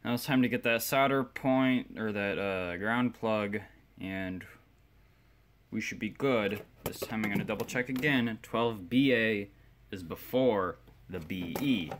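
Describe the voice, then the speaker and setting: Low-pitched man rambling casually. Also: measured pace, a little hiss or hum. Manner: rambling, self-deprecating, thinking aloud. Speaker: hobbyist radio repairer. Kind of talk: casual repair commentary. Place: indoor workshop bench.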